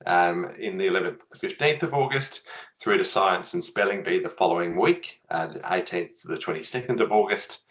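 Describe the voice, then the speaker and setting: A man's voice: on the low side, fairly bright tone. An Australian man opening a webinar as host, upbeat and saying "so, look" often. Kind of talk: scripted-feeling webinar introduction.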